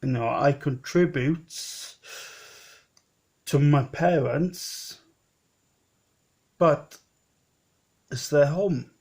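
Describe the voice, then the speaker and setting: Slow rambling man in his thirties. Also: fairly high pitch, a little mellow, husky. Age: 30s. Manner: rambling, repeats himself and restarts, plain-spoken. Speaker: vlogger. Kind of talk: personal vlog monologue.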